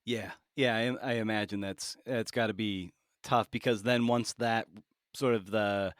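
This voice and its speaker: A man hosting a conversational podcast interview, asking halting, rambling questions. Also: lowish voice, steady tone, clean tone, no room sound, studio quality.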